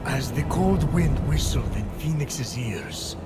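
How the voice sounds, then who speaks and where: Theatrical sardonic storyteller, swooping delivery. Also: male voice, mid-range voice, expressive, slow. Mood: dramatic narration. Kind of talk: dramatic storytelling. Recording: loud music behind the voice.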